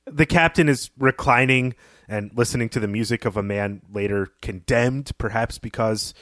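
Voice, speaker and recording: Guy cohosting a lit podcast, average pitch, thinking thru his analysis as he goes, no room echo at all. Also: normal speed, fairly bright tone, clean studio sound. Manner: reflective, piecing thoughts together as he talks. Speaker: podcast co-host. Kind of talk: conversational literary analysis.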